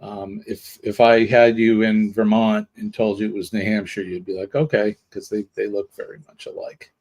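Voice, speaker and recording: A man's voice: on the low side, flat delivery, moderate pace, darkish tone, rough recording. A man in his sixties from New England, chatting casually and explaining local things and reading viewer comments. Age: 60s. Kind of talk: casual live-stream chat.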